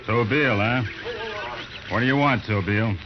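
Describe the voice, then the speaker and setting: An actor playing a gruff, contemptuous trail boss with a folksy, commanding cowboy drawl in an old radio western. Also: male voice, on the low side, unhurried, noisy background, natural voice. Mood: scornful. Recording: muffled old radio recording.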